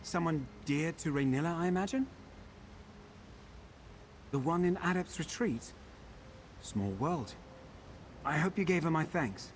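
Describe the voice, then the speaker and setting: High-voiced, put-upon pampered defector. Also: male voice, animated, measured pace, long pauses, slight background noise. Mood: subdued, grateful. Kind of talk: video game character dialogue.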